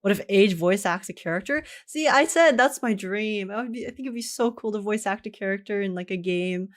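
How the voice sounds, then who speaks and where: A woman's voice: mid-range voice, a little fast. A woman livestreaming a game in an informal, giggly manner, chatting casually and reading text aloud. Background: game music.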